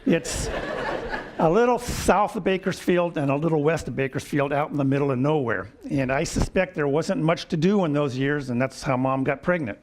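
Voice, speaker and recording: A man in his seventies giving a lecture with personal stories, wry and chuckling, often clearing his throat. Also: a little high, moderate pace, a little mellow, a little hiss or hum. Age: 70s. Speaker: guest lecturer. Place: lecture hall.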